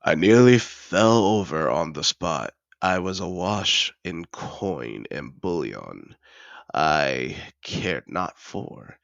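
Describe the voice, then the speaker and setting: A man's voice: very deep voice, creaky, fairly bright tone, dry recording, heavily acted. A man playing a suave, possessive, wealthy dragon in human disguise, telling his story in a refined, theatrical manner in a scripted fantasy audio roleplay.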